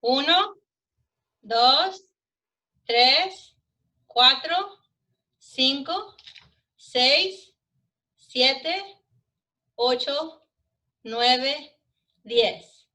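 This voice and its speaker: A bilingual woman from Texas with a Texan accent, reading aloud in Spanish and answering briefly and gently. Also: high-pitched, animated, drawn-out words, long pauses, rough recording. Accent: Texan Spanish-English bilingual. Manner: bright, gentle, brief replies. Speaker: guest reader, deputy. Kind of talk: bilingual read-aloud and chat.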